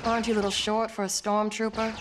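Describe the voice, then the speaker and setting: A woman's voice: mid-range voice, little pitch movement, fast talker, heavy background noise, lightly acted. A woman playing a feisty, sarcastic young princess in a space game, dry and bossy.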